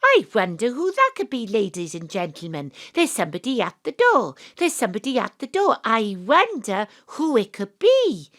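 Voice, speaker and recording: A woman with a Welsh accent playing an excitable, bossy private investigator in a theatrical audio drama, talking to the audience. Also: on the high side, moderate pace, few pauses. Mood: playful, theatrical. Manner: theatrical, exclamatory, addresses the audience. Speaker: lead actor. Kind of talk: panto-style audio drama acting.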